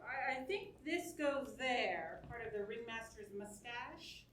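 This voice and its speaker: An actress playing a gentle, helpful female companion in a musical theatre staged reading. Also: on the high side, slow, slight background noise. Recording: distant, roomy stage, faint music.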